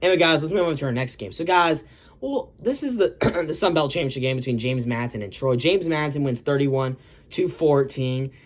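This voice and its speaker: Slightly hoarse man rambling about football. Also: a little high, animated, brisk. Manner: rambling, self-correcting, lots of "guys" and "um". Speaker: sports video host. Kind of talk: casual sports recap commentary.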